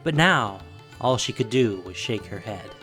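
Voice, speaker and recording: A woman narrating a scripted fantasy story in a theatrical, measured, dramatic style. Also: extremely low pitch, expressive, fairly bright tone, faint music behind.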